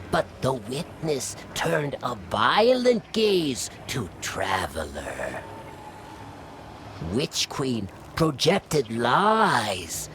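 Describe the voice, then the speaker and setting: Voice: medium pitch, full character voice. Wildly swooping, scheming alien worm. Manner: clipped broken phrases, grandiose. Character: scheming parasitic alien worm, demanding and aggrieved. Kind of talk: dramatic game voice acting. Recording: loud music over voice.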